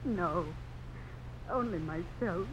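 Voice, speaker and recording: A woman's voice: fairly low pitch, slow. A woman playing a proud, sorrowful young belle in melodramatic 1950s American radio drama. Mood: sorrowful, pleading. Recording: very noisy muffled old radio broadcast.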